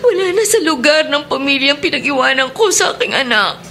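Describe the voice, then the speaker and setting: Casting: hurt, reproachful Filipino mother, high voice, emotional radio-drama delivery over faint music. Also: animated, a little fast, bright, forceful tone, exaggerated voice. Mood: tearful regret. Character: wounded, bitter woman, abandoned mother seeking her child.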